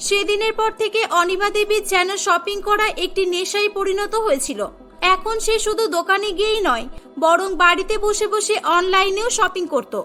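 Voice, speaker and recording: A woman's voice: very high-pitched, moderate pace, pushed and bright. A woman narrating a scripted cartoon story as its storyteller. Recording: voice over prominent background music.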